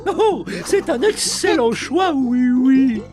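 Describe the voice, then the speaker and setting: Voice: high-pitched, lively intonation, slow pace, music in the background. An actor voicing a jolly, clumsy, showy male toy ice-cream seller in a children's cartoon, theatrical and full of oohs. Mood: jolly, flustered.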